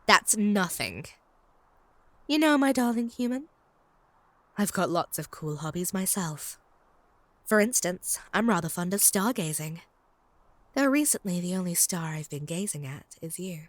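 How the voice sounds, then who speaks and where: A woman's voice: fairly low pitch, animated, unhurried, pauses often, no room sound. A woman playing a possessive, haughty female vampire in a comedic roleplay audio drama, taunting and doting in a theatrical way. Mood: doting, boastful.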